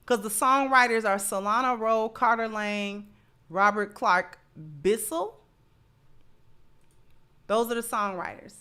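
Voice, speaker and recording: A woman's voice: on the high side, slow pace, pauses often, sharp tone, clear, resonant tone. A woman hosting livestream social commentary, speaking emphatically and often repeating phrases to drive a point home.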